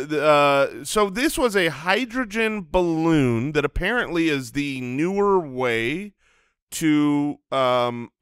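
A man's voice: on the high side, a bit bright, clean tone, no room sound. An American man co-hosting a comedy podcast, telling stories casually into a close studio microphone. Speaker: podcast co-host. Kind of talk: casual comedic storytelling and banter. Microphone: close studio microphone on boom arm.

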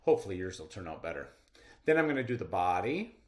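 A middle-aged man reading aloud and explaining simply to children as a schoolteacher, with deliberate enunciation. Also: low-pitched, sing-song. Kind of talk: children's read-aloud lesson.

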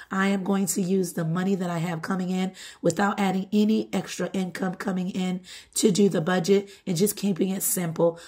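A middle-aged woman with a groggy, hoarse voice from being sick, chatting casually as she hosts her home budgeting vlog. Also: lowish voice, dark tone. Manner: chatty, repeats "all right" and "okay". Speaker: budgeting channel host. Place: home, table-top filming.

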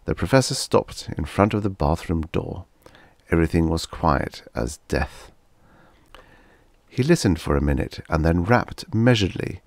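A man reading humorous fiction as an audiobook narrator, in expressive scripted narration. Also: deep voice, gravelly, no echo.

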